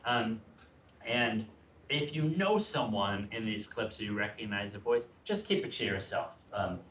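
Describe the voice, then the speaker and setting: A man's voice: fairly low pitch, a bit bright, roomy sound, distant mic, a little hiss or hum, rough recording. A man leading a theater workshop, giving easygoing instructions to a group. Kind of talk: guiding a group exercise.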